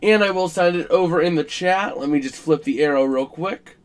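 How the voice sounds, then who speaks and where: A man hosting a casual live stream about a college football game, his voice scratchy and rough, chatting to viewers with plenty of fillers. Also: a little high, brisk. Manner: chatty, filler-heavy, talks to chat while working the stream.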